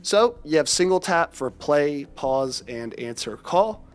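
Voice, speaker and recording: A young man with a congested, stuffy voice, briskly presenting an upbeat scripted audio product review. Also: fairly high pitch, animated, clean tone.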